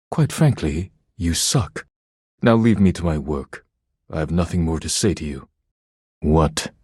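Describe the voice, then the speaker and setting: An actor playing a proud, formal male artist in a video game, with a husky voice and deliberate, theatrical phrasing. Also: low-pitched, warm tone, no echo, clean studio sound, exaggerated voice. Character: proud, formal, easily wounded male artist, devoted when befriended.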